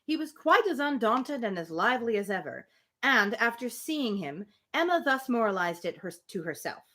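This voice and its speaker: Woman reading an old novel out loud on a livestream, highish voice, really lively, chatty asides. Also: moderate pace. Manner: expressive reading, breaks off for informal amused commentary. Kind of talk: live audiobook-style reading with casual asides.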